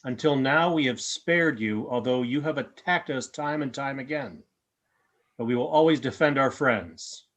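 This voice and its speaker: A man playing a stern, resolute alien guardian in a radio play, speaking in formal, measured pronouncements. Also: mid-range voice, a bit bright, close to an everyday voice.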